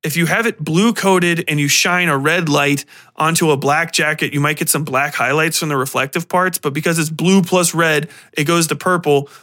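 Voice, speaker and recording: A man hosting a podcast, giving a casual, animated and opinionated film rant in slangy, profane language. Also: on the high side, moderate pace. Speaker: podcast host.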